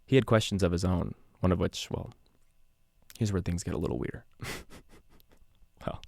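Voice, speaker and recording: A low-voiced, confiding podcast host. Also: male voice, moderate pace, vocal fry, a little mellow, no echo. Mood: musing, troubled. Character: haunted, confiding male investigative podcast host. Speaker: podcast host narrator in an audio drama. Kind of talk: conversational scripted true-crime narration.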